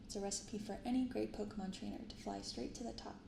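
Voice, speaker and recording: A soft-spoken woman hosting an unhurried ASMR-style unboxing, recorded in an untreated apartment room. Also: medium pitch, fairly even intonation. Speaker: host of a card unboxing channel. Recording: roomy untreated apartment, clear background noise.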